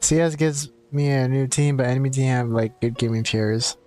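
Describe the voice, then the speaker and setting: A man's voice: mid-range voice, steady tone, normal speed, a little mellow. Young man chatting casually over game music. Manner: rambling, conversational. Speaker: game livestreamer. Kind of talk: casual chat while gaming.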